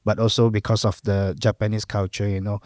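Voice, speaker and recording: A middle-aged Malaysian man with a Cantonese mother tongue talks through his memories in a relaxed, reflective way. His voice sits fairly low. The sound is dry and close, with no room echo. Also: fairly quick. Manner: relaxed, reflective, lots of fillers and "lah" particles. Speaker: guest. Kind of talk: casual interview chat.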